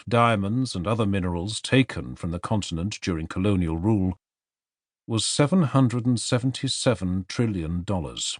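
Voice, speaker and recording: Male narrator for serious non-fiction audiobook. Articulate, emphatic, animated melody, very dry close studio sound. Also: lowish voice, dry recording.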